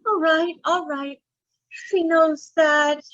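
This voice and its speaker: High-pitched, pouty little schemer, played by a woman. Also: fairly even intonation, slow pace, fairly bright tone, dry recording, muffled recording, full character voice. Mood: pouty, aggrieved. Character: sly, greedy, not-bright young female blackmailing doll. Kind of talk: noir radio drama acting.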